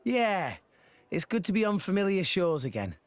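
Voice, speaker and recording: A man's voice: high-pitched, sing-song, normal speed, dry recording. An actor playing a friendly, earnest teenage boy salvager in a video game cutscene. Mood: upbeat, casual.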